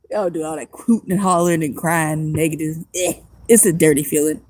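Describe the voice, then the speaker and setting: A woman's voice: on the low side, mellow, dry recording. A woman who is a homeless vlogger and music producer, chatting casually and rambling in slang on a phone livestream outdoors at night.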